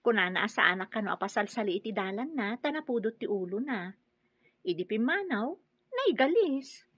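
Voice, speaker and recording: A woman's voice: a little high, very animated, moderate pace, breathy edge, no room sound, muffled recording. An actress playing a well-meaning, helpful and patient woman in an Ilocano radio drama. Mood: earnest, explaining.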